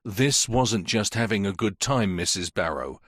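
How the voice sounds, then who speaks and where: A man's voice: lowish voice, expressive, a bit bright, husky, no room sound, clean studio sound, heavily acted. Man voicing a stern, patient police inspector. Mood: firm. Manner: measured, firm questioning. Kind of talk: audiobook character voice.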